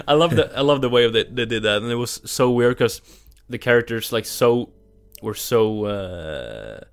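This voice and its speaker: A man from Norway with a Norwegian accent, co-hosting a casual film review podcast, halting with restarts and laughs. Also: medium pitch, lively intonation, gravelly, clear, resonant tone, soft background music. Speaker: podcast co-host.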